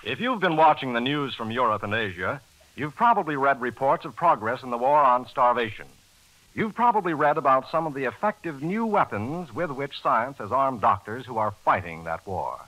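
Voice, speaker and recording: A man's voice: mid-range voice, lively intonation, moderate pace, a bit bright, rough recording. An American man announcing from a script in the formal style of 1940s radio. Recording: old 1940s radio broadcast, faint music.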